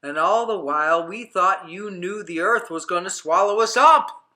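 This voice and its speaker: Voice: medium pitch, expressive, takes it slowly, sharp tone, echoey room, pitched above the performer's own voice. A storybook reader voicing a crowd of townspeople who exclaim and scold in raised, indignant voices. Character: crowd of frightened, then furious townspeople.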